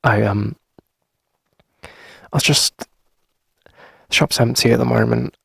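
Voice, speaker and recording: A man playing a shy, friendly, flirty coffee shop owner in a gentle ASMR roleplay, speaking hesitantly in a husky, breathy voice. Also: mid-range voice, no echo, clean studio sound, exaggerated voice. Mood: awkward, earnest. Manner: hesitant, gentle, halting with soft chuckles. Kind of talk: soft conversational ASMR roleplay.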